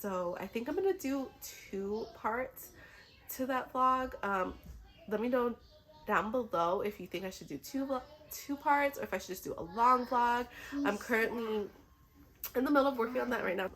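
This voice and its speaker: A woman's voice: a little high, normal speed, a bit bright. A woman who is a little sick chats casually and enthusiastically to camera as a book vlogger, in an informal way.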